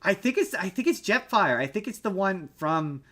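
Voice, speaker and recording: A man with a slightly high voice talks casually about films on a podcast. He is opinionated and emphatic, pressing his points in an argumentative, conversational way. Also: big pitch swings, moderate pace, bright, forceful tone. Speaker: podcast host. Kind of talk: casual movie discussion.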